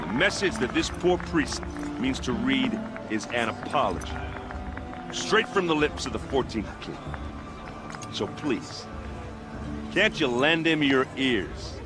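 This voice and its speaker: A man's voice: mid-range voice, expressive, slow pace, pauses often. An actor playing a blunt, plainspoken adult man from a foreign tribe, addressing a crowd in a colloquial, earnest way. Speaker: envoy speaking to a crowd. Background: game music.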